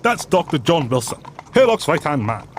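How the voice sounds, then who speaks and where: A man's voice: on the high side, sing-song, moderate pace, a little hiss or hum. An actor playing a sardonic, theatrical male narrator who mocks the hero in a scripted comic radio drama. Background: music.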